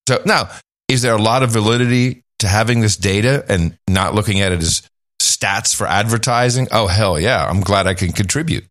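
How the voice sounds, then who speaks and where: Man, low voice, podcast host, casual rambling chat, dry close mic. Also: normal speed, no room sound. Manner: rambling, animated swings in pitch.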